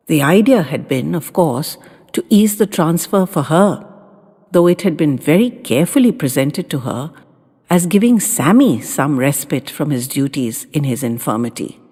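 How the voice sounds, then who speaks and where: A woman's voice: low voice, dark tone, breathy edge. A woman reading a story as scripted audiobook narration, in a tender, dramatic storytelling delivery. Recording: long reverb with background music. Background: music.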